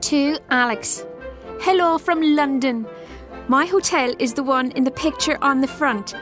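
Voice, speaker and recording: A high-voiced, outspoken little schoolgirl. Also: a little slow, music in the background. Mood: chatty, excited. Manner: childlike, plain short sentences. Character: outspoken little schoolgirl writing frank notes to friend. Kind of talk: audiobook reading of letters.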